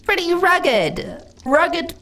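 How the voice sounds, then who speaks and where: Slime monster girl, female actor: lonely, hungry, easily flustered; very animated pitch, slow delivery, fantasy audio drama. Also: heavily acted. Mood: giddy, gushing. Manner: theatrical, giggly, stammering when flustered. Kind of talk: fantasy audio roleplay addressed to the listener.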